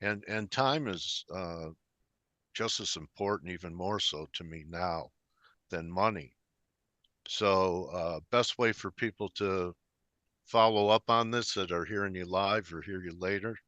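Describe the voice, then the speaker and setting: A man hosting a casual interview, talking in a wry, conversational and gently teasing way. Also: low-pitched, a little slow, no echo. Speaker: interview host.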